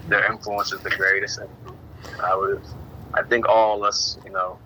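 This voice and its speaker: Hesitant man with a bright, forceful voice. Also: on the low side, level tone, normal speed, slight background noise. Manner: hesitant, many restarts and fillers. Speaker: discussion participant. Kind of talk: casual group discussion. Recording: dull lo-fi recording.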